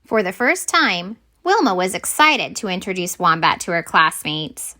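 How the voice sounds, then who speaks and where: A woman reading a children's picture book aloud as a storyteller, expressive and deliberate. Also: fairly low pitch.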